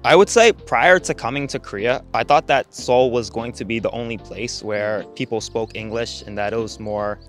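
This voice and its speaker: A young man from Boston, an English teacher chatting casually in a street interview outdoors. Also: medium pitch. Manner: casual, uses lots of "like". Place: outdoors in a park. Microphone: handheld mic held by interviewer.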